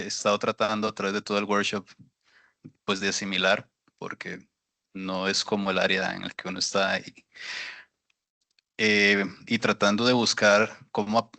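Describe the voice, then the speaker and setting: A man's voice: fairly low pitch, vocal fry, sharp tone. A man from Costa Rica asking a question at a workshop, hesitant and searching for words. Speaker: workshop participant. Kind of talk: audience question.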